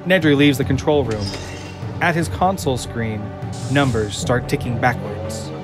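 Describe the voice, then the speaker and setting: A man's voice: medium pitch, expressive, moderate pace. A man reading scripted narration for an audio drama. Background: music.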